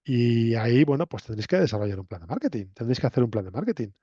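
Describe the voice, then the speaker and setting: Man, Castilian Spanish accent, mellow voice, conversational lecturer, frequent tag questions, muffled lo-fi audio. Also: medium pitch, sing-song, rapid-fire, husky. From Spain, La Mancha. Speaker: university lecturer. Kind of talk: interactive lecture to students. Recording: roomy echo, dull lo-fi sound.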